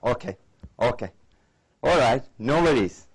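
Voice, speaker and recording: Indian-accented man giving a lively lecture. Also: mid-range voice, measured pace, husky. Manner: lively, engaging the audience with questions. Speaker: Ayurvedic doctor, guest lecturer. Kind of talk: conference lecture.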